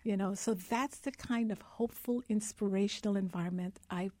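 A woman's voice: mid-range voice, moderate pace, darkish tone, no room sound. A woman from Guyana, a guest in an interview, telling her life story in an earnest, heartfelt way.

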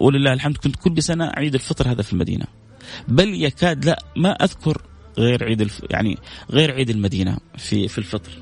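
A man with a Hijazi Saudi accent and a creaky voice, hosting a casual religious radio chat and addressing listeners affectionately with endearments and blessings. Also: medium pitch. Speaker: radio show host.

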